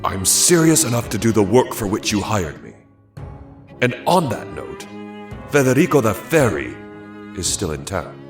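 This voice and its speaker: An actor playing a secretive, self-important male spy in a dramatized audio story, with theatrical, drawn-out delivery. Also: lowish voice, big pitch swings. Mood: insistent. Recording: roomy echo over background music.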